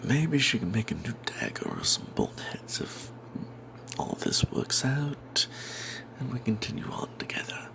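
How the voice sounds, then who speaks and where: A man narrating a fantasy role-playing tale in first person as ASMR, in a breathy, secretive whisper, rambling wryly as if not to be overheard. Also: mid-range voice, huge pitch swings, unhurried. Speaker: storyteller narrating a tabletop game tale.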